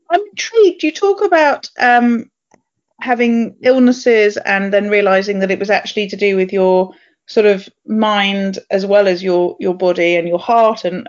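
A woman's voice: medium pitch, lo-fi sound. A woman hosting a podcast interview, curious and chatty, asking questions with some fillers. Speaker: podcast host.

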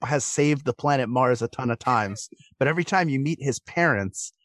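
A man with a mid-pitched voice talks as a podcast guest in a dry, close recording. He speaks casually and analytically, earnest and emphatic as he makes his case. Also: normal speed, a bit bright, no echo.

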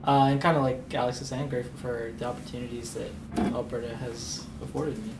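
A man's voice: mid-range voice, little pitch movement, a little fast. A man with a Canadian accent giving a casual, unscripted answer at a group meeting. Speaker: meeting participant. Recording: distant mic, echoey, very noisy room.

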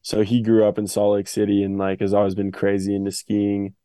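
Relaxed young man, low and flat. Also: fairly quick, dry recording. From Switzerland. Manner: relaxed and easygoing, with fillers. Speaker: guest, pro skier. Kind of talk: casual interview answers.

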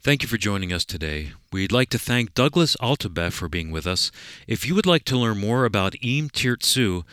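A man announcing the close of a radio program, reading contact details clearly and deliberately. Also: on the low side, big pitch swings, a bit bright, dry recording. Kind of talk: scripted radio program outro. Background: faint theme music.

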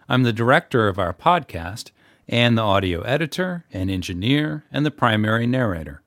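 A man's voice: mid-range voice, fairly bright tone, dry recording, clean studio sound. A man giving a scripted how-to talk as a podcast guest, in a wry, plainspoken way. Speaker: guest audio editor and podcast narrator.

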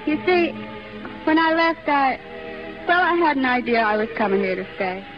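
A woman's voice: high-pitched, fairly even intonation, normal speed, music in the background, natural voice. A woman acting the devoted, forgiving sweetheart of a comic in a 1930s radio drama. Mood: earnest, tender. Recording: muffled 1930s radio broadcast recording.

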